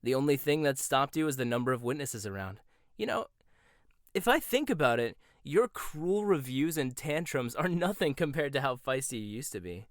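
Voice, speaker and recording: A man's voice: medium pitch, lively intonation, normal speed, dry recording, natural voice. Man playing a smug rival chef, close and dry. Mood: taunting. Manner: teasing, smug, laughs often. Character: cocky rival male chef, condescending and competitive. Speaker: voice actor. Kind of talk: boyfriend ASMR roleplay.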